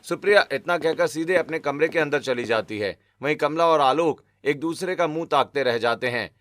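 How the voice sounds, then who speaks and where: A man's voice: a little high, moderate pace, fairly bright tone, no echo, faint music behind. A man giving scripted narration for a cartoon family story.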